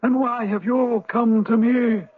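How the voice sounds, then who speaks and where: A man's voice: very high-pitched, steady tone, slow, exaggerated voice. An actor playing an ancient, worldly-wise male rock spirit and former Viking pirate in a declamatory old-time radio drama. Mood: booming, knowing. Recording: lo-fi phone-like radio recording, echoey.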